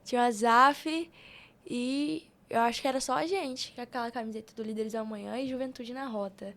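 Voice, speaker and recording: A young adult woman with a Brazilian Portuguese accent, telling stories casually on a podcast in an animated, informal way. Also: a little high, moderate pace. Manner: animated, informal, acts out quoted speech. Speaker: podcast guest, youth institute member.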